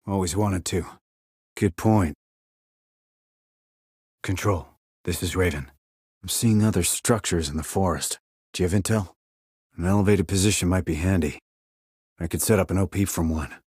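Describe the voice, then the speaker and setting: An actor playing a terse, dry, confident military sniper in a video game, with a husky voice and clipped, controlled reports. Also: male voice, low voice, moderate pace, pauses often, a little mellow, dry recording. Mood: relaxed, observant. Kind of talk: scripted military game dialogue.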